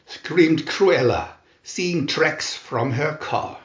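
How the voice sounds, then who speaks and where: A man reads a children's storybook with deliberate care. His pitch swings widely as he goes, and the recording is dull and roomy, with a clear echo around the voice. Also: expressive. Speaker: storybook narrator. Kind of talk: scripted children's story reading. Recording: roomy, echoey, dull sound.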